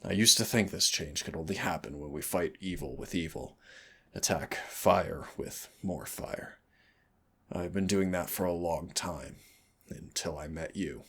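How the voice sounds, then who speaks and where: Man voicing a very deep, gravelly demon prince. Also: a bit bright, full character voice. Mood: tender, earnest. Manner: slow, theatrical, teasing endearments and solemn declarations. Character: brooding, tender male demon prince, winged, devoted to his hunter. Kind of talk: intimate romantic audio roleplay.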